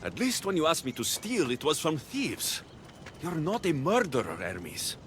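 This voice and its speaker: An actor playing a blunt, guarded mercenary hero with a dry, sardonic delivery in a video game cutscene. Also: male voice, fairly high pitch, wildly swooping pitch, measured pace, fairly bright tone, a little hiss or hum, exaggerated voice. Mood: reproachful. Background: background music.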